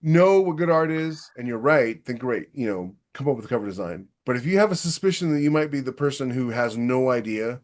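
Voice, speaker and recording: A man's voice: mid-range voice, expressive, brisk, few pauses. A man hosting a casual podcast chat, giving opinionated, emphatic advice as an author.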